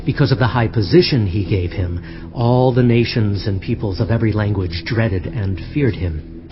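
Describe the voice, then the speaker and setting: An actor playing a solemn prophet rebuking a proud king, speaking gravely and deliberately in a dramatized scripture reading. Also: lowish voice, close to an everyday voice. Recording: muffled sound with music underneath.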